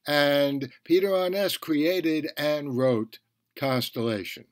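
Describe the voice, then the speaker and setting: A man hosting a podcast, reading a review in a measured, deliberate manner. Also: fairly high pitch, no room sound, studio quality. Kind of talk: scripted review narration.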